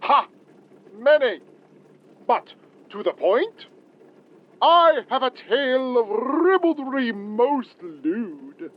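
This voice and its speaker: A man's voice: extremely high pitch, very animated, very slow, long pauses, full character voice. A man playing a florid, pompous would-be poet and lewd Romeo, declaiming grandly in an audio roleplay. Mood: grandly flirtatious. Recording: dull phone-in caller line.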